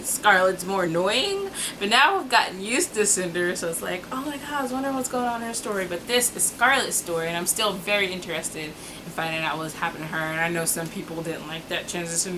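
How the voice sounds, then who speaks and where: A woman with a fairly low voice talks casually to camera as a book vlogger. Her phrasing is informal and slangy, with exasperated asides and stretched-out words for emphasis. Clear background noise sits behind her.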